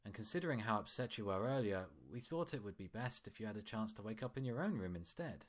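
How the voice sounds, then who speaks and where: A man voicing a cheery, falsely gentle pony doctor with a patronizing, chatty manner in a story reading. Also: lowish voice, animated, quick pace, lightly acted. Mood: soothing. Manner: patronizing, chatty, over-soothing.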